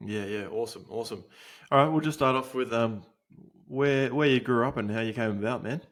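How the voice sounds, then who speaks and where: A young Australian man hosting a podcast, talking casually with lots of swearing, fillers and restarts. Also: mid-range voice. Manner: laid-back, swears a lot, halting with fillers. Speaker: podcast host.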